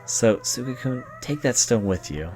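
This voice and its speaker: A man voicing an earnest, protective young female student in a video game, pleading and halting as he reads the dialogue aloud. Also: mid-range voice, expressive, a little mellow, soft background music, close to an everyday voice.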